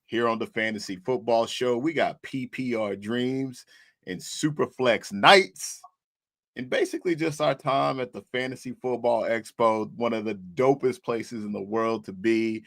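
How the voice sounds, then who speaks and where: A young man with a hoarse voice, hosting a casual sports chat as a loud, slangy hype-man. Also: mid-range voice, a little slow, no echo, studio quality. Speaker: host.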